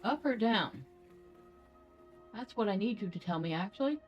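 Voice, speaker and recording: A woman's voice: mid-range voice, animated, normal speed, muffled recording, soft background music. A woman giving casual game commentary, chatty and playful as she thinks aloud. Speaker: gaming video host.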